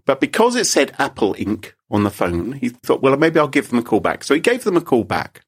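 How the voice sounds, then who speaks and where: A man's voice: medium pitch, huge pitch swings, a little fast, breathy edge. A British man co-hosting a podcast, telling a story in a theatrical, teasing way. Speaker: podcast co-host.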